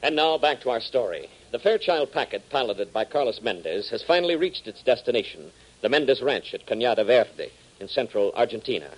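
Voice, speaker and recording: A man's voice: medium pitch, sing-song, brisk, few pauses, slight background noise, low-quality recording. An American man announcing and narrating a 1940s radio serial in a dramatic, emphatic scripted style. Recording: old 1940s radio transcription.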